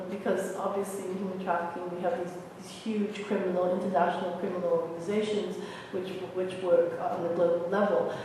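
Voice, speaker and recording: A woman's voice: mid-range voice, darkish tone. A woman in the audience asking a long, earnest question at a university talk. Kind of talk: question from the floor. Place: university lecture hall. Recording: echoey hall from far off, faint noise.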